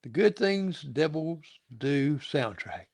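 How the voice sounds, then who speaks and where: A man hosting a casual interview, asking questions in a halting way and repeating his words. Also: fairly high pitch, big pitch swings, gravelly, a little mellow, no echo, clean studio sound. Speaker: interview show host.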